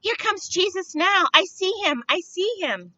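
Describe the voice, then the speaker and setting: Woman acting out excited storybook townsman, loud drawn-out calls, high, piercingly bright, slightly dull recording. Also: no echo, far from the mic, full character voice. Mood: excited, calling out. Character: excited man calling out in a street crowd.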